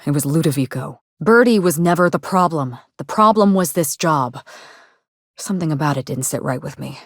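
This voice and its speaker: A woman playing a guarded, world-weary actress in a video game, speaking in a measured, dry, wry way. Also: low voice, animated, no echo. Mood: somber, reflective. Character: guarded, world-weary actress investigating her sister's murder. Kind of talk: scripted game dialogue.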